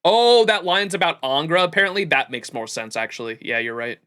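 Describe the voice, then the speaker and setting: A man hosting a livestream, giving casual, rambling, animated gaming commentary with plenty of swearing. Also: fairly high pitch, fairly quick. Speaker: livestream host.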